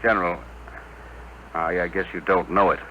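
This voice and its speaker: A man's voice: low voice, little pitch movement, moderate pace, lightly acted. A man acting in a 1950s radio drama as a stern, burdened spaceship captain, with a clipped, commanding delivery. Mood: urgent. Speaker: radio actor. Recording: muffled, noisy old radio broadcast.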